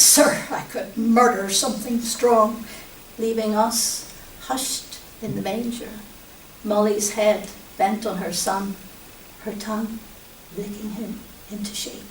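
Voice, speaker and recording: A woman reading her poetry aloud at a live event, in measured, deliberate phrases with pauses. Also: mid-range voice, darkish tone. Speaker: poet reading own work. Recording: roomy, distant mic with faint hiss.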